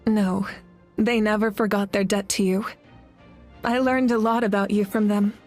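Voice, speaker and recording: A woman voicing a solemn, selfless elder-sister heroine in a video game, speaking gently with measured restraint. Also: mid-range voice, fairly even intonation, faint music behind, close to an everyday voice. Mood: warm.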